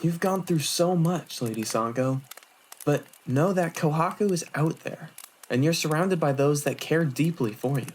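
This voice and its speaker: Woman acting a young male monk, low pitch for a woman, courteous, measured, earnest, anime audio drama. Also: darkish tone, slight background noise, natural voice. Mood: tender, earnest.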